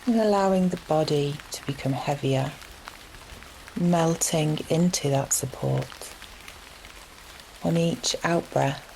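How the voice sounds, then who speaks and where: A woman leading a guided relaxation meditation in a gentle, soothing manner, leaving long unhurried pauses between phrases. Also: low voice, gravelly, a little hiss or hum, low-quality recording. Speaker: yoga nidra meditation guide. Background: steady rain sounds.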